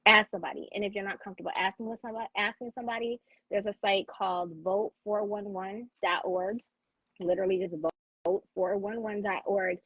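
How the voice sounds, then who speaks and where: A woman's voice: mid-range voice, breathy edge, dry recording, dull audio. An American woman co-hosting a talk show, explaining things casually in an emphatic, informal and encouraging way.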